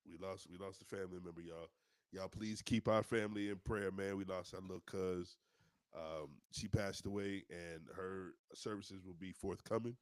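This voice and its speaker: A man co-hosting a podcast, a self-described loudmouth who sounds stuffy from a cold. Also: sits low, steady tone, moderate pace, clean tone, no room sound. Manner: loud, playful banter. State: stuffy, congested. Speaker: podcast co-host. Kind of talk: casual podcast chat.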